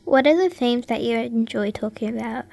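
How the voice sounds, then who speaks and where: A woman's voice: fairly high pitch, moderate pace, vocal fry, darkish tone. A woman hosting a radio talent show, carefully reading out scripted introductions and prepared interview questions.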